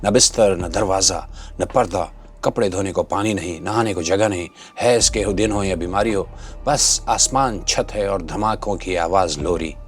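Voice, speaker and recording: A man with a fairly low voice presents scripted commentary as a channel host. His delivery is rhetorical and dramatic with a sardonic edge. Music plays faintly behind him. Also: normal speed. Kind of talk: scripted opinionated political commentary. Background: background music.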